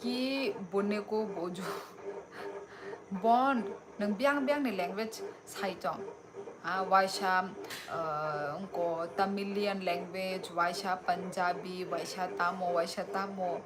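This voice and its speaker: Slow-talking young woman streaming live. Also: medium pitch. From Tripura, India. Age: young adult. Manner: unhurried, friendly, answers viewers by name. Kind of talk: casual live-stream chat reading viewer comments. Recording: live stream with steady background noise.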